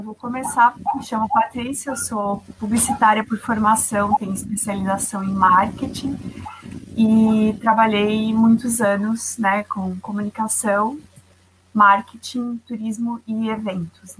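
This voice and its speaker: A woman from Santa Catarina, a publicist guest, talking in a measured, careful way over a video call. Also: mid-range voice, slight background noise, rough recording. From Santa Catarina, Brazil. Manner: measured, careful, with many "né" tags. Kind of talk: casual interview talk.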